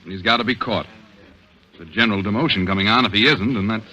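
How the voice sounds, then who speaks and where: Very sharp-voiced glum homicide detective. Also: male voice, on the low side, fast. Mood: glum, defeated. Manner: glum, slangy, blunt. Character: gloomy, self-doubting homicide detective in a radio thriller. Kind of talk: 1940s radio acting. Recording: faintly noisy vintage 1940s radio recording.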